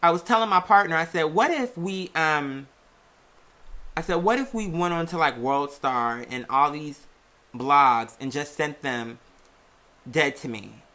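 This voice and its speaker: Bright, sassy, theatrical podcast host. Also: mid-range voice, measured pace. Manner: sassy, chatty, addresses listeners as "girl" and "y'all". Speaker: show host and music artist. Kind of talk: casual conversational monologue to listeners.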